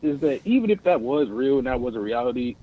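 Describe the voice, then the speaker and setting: A man's voice: mid-range voice, big pitch swings, quick pace. An American man calling in to a casual discussion, speaking hesitantly and searching for his words over a phone-like connection. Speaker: caller. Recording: tinny phone-like line.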